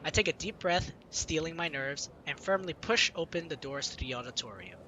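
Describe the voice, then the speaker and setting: A man's voice: on the high side, animated, moderate pace, bright, forceful tone, a little hiss or hum, rough recording. A man hosting a gaming video, reading visual novel narration aloud informally, with casual asides and self-corrections. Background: game music.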